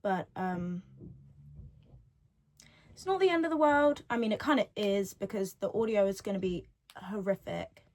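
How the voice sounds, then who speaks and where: A woman's voice: medium pitch, expressive, moderate pace, long pauses, a little mellow. An eighteen-year-old woman hosting her own solo podcast from home, chatting and rambling freely, often repeating her own phrases, recorded on a device's built-in mic with no podcast microphone. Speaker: podcast host.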